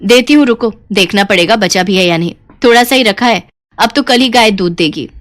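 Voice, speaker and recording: A woman voicing a crafty, fed-up older village aunt in a cartoon story, speaking in a sly, measured, confiding way. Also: medium pitch, a bit bright, no echo, clean studio sound, natural voice. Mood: reluctant.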